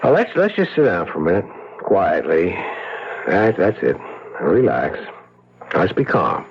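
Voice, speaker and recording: A husky, concerned husband in an old radio drama. His pitch swings widely as he reasons earnestly, repeating phrases. The sound is dull and lo-fi. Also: wildly swooping pitch, unhurried, full character voice. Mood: soothing, worried. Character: concerned, rational husband soothing his wife. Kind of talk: 1970s radio drama acting. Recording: muffled old radio broadcast.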